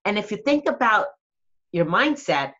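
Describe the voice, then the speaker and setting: A woman's voice: mid-range voice, sing-song, normal speed, a bit bright, muffled recording. A woman motivational speaker coaching someone in conversation, asking pointed questions and repeating key phrases for emphasis.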